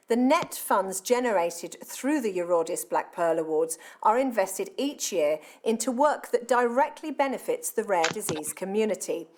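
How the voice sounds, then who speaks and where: A woman's voice: mid-range voice, a little hiss or hum. A woman hosting an online awards ceremony, reading her script with an upbeat tone. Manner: upbeat, reads out messages.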